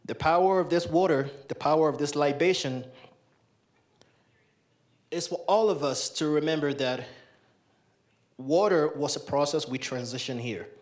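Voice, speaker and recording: A man's voice: a little high, measured pace, long pauses. A young man from Ghana leading a libation ceremony in a large hall, speaking into a handheld mic with solemn, repeated invocations. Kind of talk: ceremonial address.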